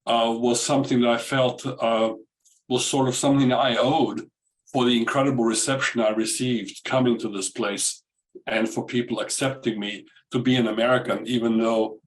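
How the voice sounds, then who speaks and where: A man in his sixties with an Austrian accent speaks formally and courteously as a panel moderator, in a measured, halting way. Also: mid-range voice, fairly even intonation, rough recording. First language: German. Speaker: moderator, retired diplomat. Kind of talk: semi-formal panel remarks. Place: video call webinar.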